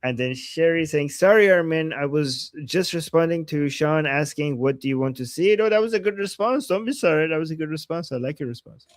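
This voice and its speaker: A man from Iran co-hosting a casual livestream, reading viewer messages with frequent chuckles and halting restarts. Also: a little high, lively intonation, no room sound, clean studio sound. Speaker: co-host reading viewer chats.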